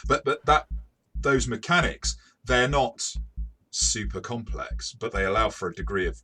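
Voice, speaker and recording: A man co-hosting a casual game review discussion, talking in a rambling, halting way and often repeating words. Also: mid-range voice, expressive, pushed and bright, husky. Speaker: podcast host and game reviewer.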